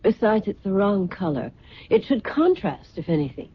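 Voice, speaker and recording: An actress playing a vain, imperious middle-aged fashion designer in an old-time radio drama, grand and condescending. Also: female voice, mid-range voice, sing-song, normal speed, husky. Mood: scornful. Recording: muffled vintage radio recording.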